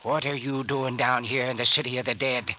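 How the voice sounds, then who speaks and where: An actor playing a cranky, suspicious old cemetery caretaker, agitated and folksy, in an old-time radio drama. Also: male voice, mid-range voice, fairly even intonation, fairly quick, sharp tone. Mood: angry, accusing. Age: older.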